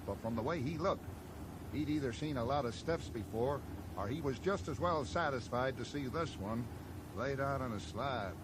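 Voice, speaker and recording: Actor as folksy American sheriff, noisy recording. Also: male voice, mid-range voice, lively intonation, moderate pace, lightly acted. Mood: probing, suspicious. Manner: folksy, matter-of-fact, probing. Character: probing, blunt small-town American sheriff, folksy and unruffled. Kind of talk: radio drama acting. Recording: noisy 1980s radio drama broadcast.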